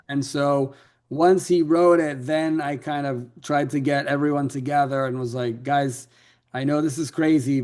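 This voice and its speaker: A man raised in Ecuador answers interview questions about the film he directed. His voice sits at an ordinary pitch for a man, and he tells his story fluently and with enthusiasm. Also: a little mellow. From Latin America, raised in Ecuador.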